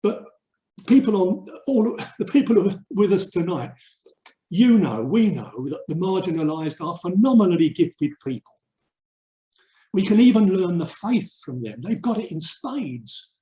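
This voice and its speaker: An older man from England giving a reflective webinar talk, earnest and emphatic, with deliberate pauses. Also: high voice, sing-song, mellow. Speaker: urban mission speaker and theologian. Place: indoor room, online webinar. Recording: roomy, echoey webinar audio.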